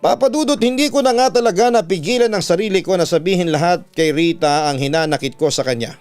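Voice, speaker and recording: A Filipino man narrates a personal story in a dramatic radio announcer's style. His voice sits a bit high for a man and is clear and resonant. Music plays behind him. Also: quick pace, darkish tone. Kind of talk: dramatic narration of a listener's letter in first person. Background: background music.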